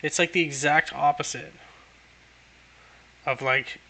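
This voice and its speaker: A man's voice: a little high, fairly even intonation, takes it slowly, gravelly, sharp tone. A young man giving casual, rambling reaction commentary with a crude, self-mocking way of talking.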